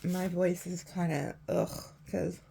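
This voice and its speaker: A woman with a hoarse voice, chatting casually through a tarot reading and laughing often. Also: on the low side, fairly even intonation, slow, heavy background noise. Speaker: tarot reader.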